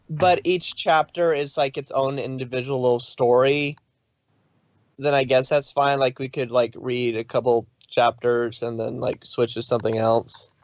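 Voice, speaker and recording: A woman's voice: rumbling low, a little mellow, no echo. Woman reading fan fiction, muffled dry mic. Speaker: fan fiction reader and host. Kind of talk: narrated story reading and casual chat. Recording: dull, dry lo-fi microphone.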